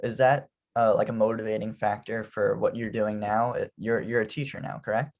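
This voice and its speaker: A man's voice: on the low side, little pitch movement, normal speed, darkish tone. A young man interviewing a classmate, friendly and hesitant, with plenty of ums and likes. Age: young adult. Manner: friendly, hesitant, many fillers. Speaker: interviewer, graduate assistant. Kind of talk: casual interview.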